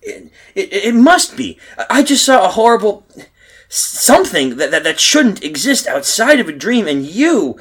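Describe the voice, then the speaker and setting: Male actor as frightened man in a radio horror play, halting, stammering, husky, highly animated, dramatic first-person narration. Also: full character voice. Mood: flustered, agitated. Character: frightened, bewildered man stranded in a nightmare world. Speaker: actor narrating and playing the lead.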